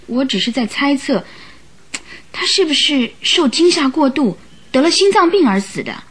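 A woman's voice: high-pitched, big pitch swings, normal speed, fairly bright tone. An actress in a radio drama playing an earnest, troubled young woman who gives careful, emotive accounts. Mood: puzzled, troubled. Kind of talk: old Chinese radio drama acting.

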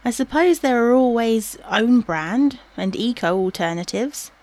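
Woman acting as unsure wife, fairly high voice, doubtful, questioning, naturalistic audio drama. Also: measured pace, a little mellow, lightly acted. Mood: warily considering. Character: Helen, hesitant, self-doubting wife and new mother.

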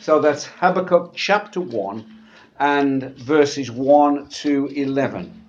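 A man preaching in church, his voice slightly gravelly and husky from a sore throat, speaking in a conversational sermon style. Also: medium pitch, darkish tone. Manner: halting, conversational, often repeating words. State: sore throat after a medical procedure. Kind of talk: church sermon with scripture reading. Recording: dull, muffled church recording.

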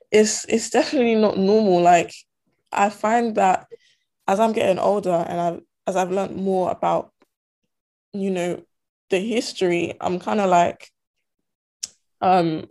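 A woman's voice: lowish voice, gravelly, darkish tone. A young woman from Ghana and Togo talking earnestly in a casual conversation, with many fillers and restarts. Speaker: student guest. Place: diaspora.

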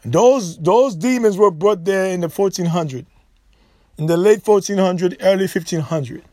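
High-voiced, emphatic man. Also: unhurried, mellow, no room sound. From Haiti. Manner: emphatic, repetitive, frequent restarts. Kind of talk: impassioned solo commentary.

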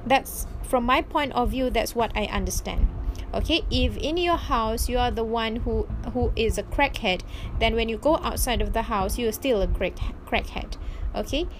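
A woman whose first language is Malay, speaking English slowly in a casual reflection with frequent pauses and restarts. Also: fairly high pitch. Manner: slow, searching, many restarts. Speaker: vlogger practicing English.

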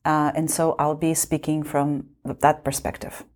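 A woman's voice: low-pitched, level tone, normal speed, a little mellow. A young woman from Kazakhstan speaking earnestly on a panel, explaining her view with light laughs. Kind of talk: panel discussion.

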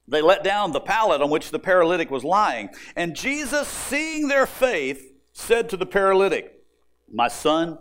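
Animated high-voiced man preaching. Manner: folksy, conversational storytelling with dramatic pauses. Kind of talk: sermon with storytelling and Bible reading.

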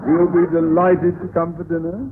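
An actor playing a blustery middle-aged school principal in a vintage radio sitcom, theatrical and emphatic. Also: male voice, fairly high pitch, flat delivery, normal speed, lightly acted. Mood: gleeful. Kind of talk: 1940s-50s radio sitcom acting. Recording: noisy, narrow-band old radio recording.

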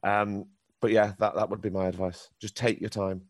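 A man with a very deep voice, a professional actor answering a youth theatre group's questions with candid, encouraging advice. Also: little pitch movement, normal speed, no echo, studio quality. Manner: candid, encouraging advice with frequent ums and restarts.

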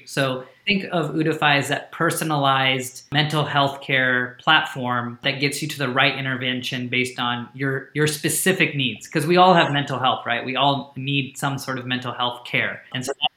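Male guest, Hindi native speaker, earnest explainer, bright tone, roomy echo. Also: medium pitch. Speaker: startup founder, guest. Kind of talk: podcast interview.

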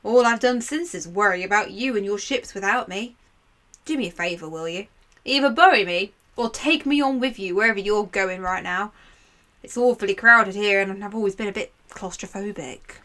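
A woman's voice: mid-range voice, moderate pace, bright, forceful tone, natural voice. A woman voicing the chatty ghost of a drowned male sailor who complains wryly in a told myth.

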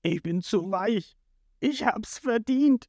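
A narrator voicing a soft-hearted, loyal male pirate henchman who pleads and wails in a storybook retelling. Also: medium pitch, lively intonation, takes it slowly, no room sound, studio quality, voice pushed up. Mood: tearful, defensive. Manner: pleading, wailing.

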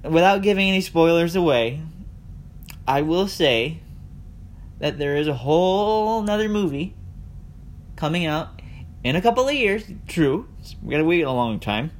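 A man's voice: expressive, measured pace, pauses often. A man hosting a casual solo podcast, speaking conversationally and addressing his listeners directly. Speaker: podcast host. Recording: noisy with low background rumble.

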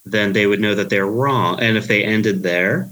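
New York man, lowish voice, sleepy deadpan monotone, casual podcast co-host. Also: moderate pace, low-quality recording. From Queens, New York. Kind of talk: casual chat.

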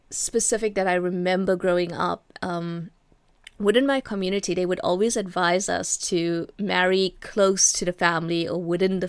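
A woman's voice: lowish voice, vocal fry, no echo. A young South African woman with a South African accent, hosting a podcast and telling her personal story in a chatty, self-deprecating way. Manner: chatty, self-deprecating, frequent fillers and laughs. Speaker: podcast host.

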